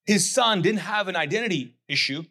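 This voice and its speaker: Higher-voiced preacher giving a conversational sermon. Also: male voice, animated, brisk, sharp tone. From Pakistani family, raised in Kuwait. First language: not English. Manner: conversational preaching, quoting imagined voices, asks the crowd to answer. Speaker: pastor.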